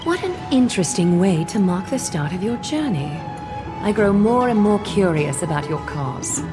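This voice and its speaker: A woman playing a gracious, composed noblewoman in a video game, speaking formally and with soft command. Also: medium pitch, sing-song, lightly acted. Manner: formal, measured, softly commanding. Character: poised, courteous noblewoman leader, gracious and composed. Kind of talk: scripted game character dialogue. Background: game music.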